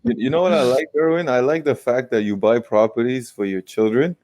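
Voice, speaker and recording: A man in his late twenties, a guest investor telling stories in a casual interview with quick rueful asides. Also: mid-range voice, a little mellow, no echo. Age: 20s. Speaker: guest real estate investor.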